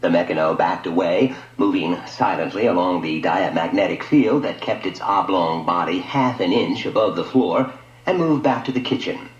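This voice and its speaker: A man narrates a radio story in a fairly low voice with widely swinging, animated intonation. His scripted, dramatic reading sounds dull and lo-fi. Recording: dull lo-fi audio, echoey room.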